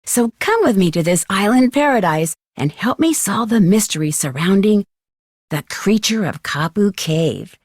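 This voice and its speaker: A young woman playing a plucky, curious amateur detective in scripted video game dialogue, with a clear, earnest, chatty delivery. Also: mid-range voice, lively intonation, a little slow, no room sound, clean studio sound. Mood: inviting. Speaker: voice actor as game heroine.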